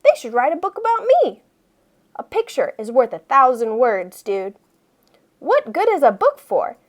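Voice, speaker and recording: A woman plays a cheeky schoolboy telling his storybook tale. The voice is high and very animated, with exasperated emphasis. Words come slowly and expressively. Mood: grumbling, cheeky. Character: reluctant schoolboy narrator who hates reading, cheeky, exasperated.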